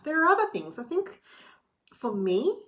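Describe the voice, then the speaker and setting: A woman's voice: high-pitched, very animated, normal speed, a bit bright. A middle-aged woman talking candidly to camera in a casual late-night vlog, chatty and a little theatrical. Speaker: vlogger.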